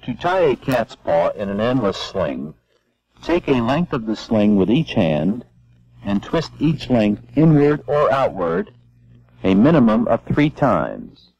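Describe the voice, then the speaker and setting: Gravelly man narrating an old training film. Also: fairly low pitch, very animated, darkish tone, dry recording. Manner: measured, step-by-step instruction. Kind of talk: scripted narration. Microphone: voice-over. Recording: dull lo-fi old film soundtrack.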